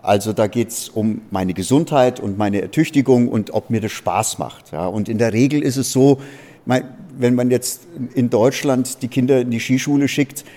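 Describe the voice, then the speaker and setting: A man's voice: medium pitch, mellow, big-room sound. A man answering as a panelist at a live discussion, holding a handheld mic and rambling, with frequent "ja" fillers. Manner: rambling, fills pauses with "ja" and "letztendlich".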